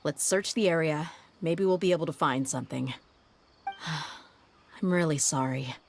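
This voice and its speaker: A woman voicing a tough young female mercenary in a game cutscene, steady and loyal, thoughtfully reasoning things out aloud. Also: low voice, measured pace, a little hiss or hum.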